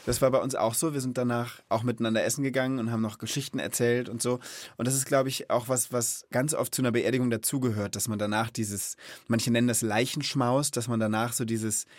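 A man's voice: mid-range voice, fairly even intonation, fast talker, dry recording, clean studio sound. A man hosting a children's podcast, talking casually in a reflective, explanatory way. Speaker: podcast host. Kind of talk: casual conversational talk for children.

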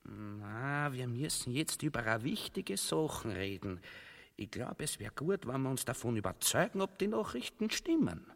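A man's voice: mid-range voice, big pitch swings, moderate pace, gravelly. An actor with an Austrian accent playing a grumbling, hesitant henchman speaking colloquially in a grotesque comic radio drama. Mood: pondering. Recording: long hall-like reverb.

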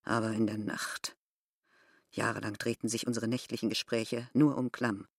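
A middle-aged innkeeper from a village, a proud and wistful woman. She speaks with grave, deliberate insistence in the manner of a literary radio drama, devoted to a love long past. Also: a bass voice, level tone, husky, full character voice. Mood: melancholy, reminiscing.

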